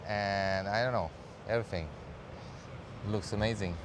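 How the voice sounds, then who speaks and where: A man's voice: on the low side, steady tone, normal speed. A man from Romania gives casual answers in an outdoor interview. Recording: outdoor street noise, faint traffic.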